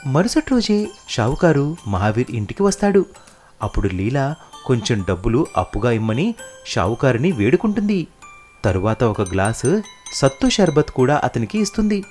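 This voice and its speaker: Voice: medium pitch, very animated, moderate pace, a little mellow, faint music behind. A narrator reading scripted moral stories for children.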